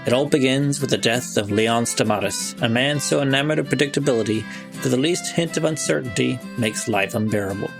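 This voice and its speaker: A man's voice: mid-range voice, vocal fry. A man hosting a podcast, reading scripted narration and credits. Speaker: podcast host. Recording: music behind the voice.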